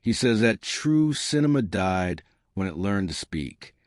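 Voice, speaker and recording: A man voicing a reserved, wistful woman in a story, speaking in a measured, matter-of-fact way. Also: fairly low pitch, big pitch swings, no room sound, clean studio sound. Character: reserved woman explaining her obsessive brother, wistful.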